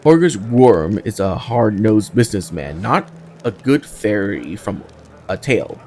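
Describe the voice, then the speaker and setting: A man's voice: lowish voice, big pitch swings, measured pace, darkish tone, a little hiss or hum. A man voicing a haughty, wealthy merchant from a fantasy game, formal and pompous in his proud delivery. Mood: indignant. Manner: formal, pompous, fussy diction.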